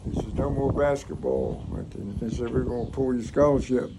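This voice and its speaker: Gravelly elderly Southern American man reminiscing. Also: mid-range voice, lively intonation, normal speed, noisy background. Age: 80s. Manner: folksy, rambling, acts out remembered dialogue. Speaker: grandfather recounting his life. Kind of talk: casual oral storytelling.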